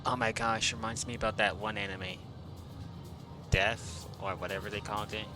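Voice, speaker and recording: Male game streamer, low pitch, casual offhand asides, clear game music behind him. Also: level tone, normal speed. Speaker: game livestreamer. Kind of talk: casual gameplay commentary. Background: video game music.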